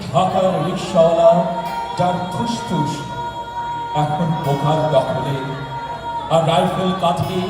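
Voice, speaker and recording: A man's voice: a little high, steady tone, slow, pauses often. A man reciting poetry on stage at an event, half singing and declaiming with passion. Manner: declaiming, half-sung, impassioned. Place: large hall. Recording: echoey hall, voice from far off, loud music.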